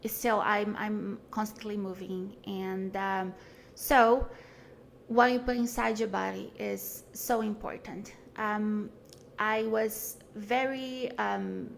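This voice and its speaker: Gravelly woman in her forties. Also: mid-range voice, steady tone, slow, slight background noise. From Brazil. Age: 40s. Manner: earnest, frank, with many fillers and restarts. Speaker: podcast guest. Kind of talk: casual conversation.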